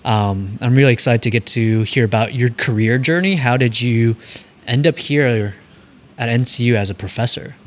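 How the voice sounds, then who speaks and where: A man's voice: mid-range voice, moderate pace. A man hosting a casual podcast who reacts eagerly and keeps the talk moving. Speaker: podcast host.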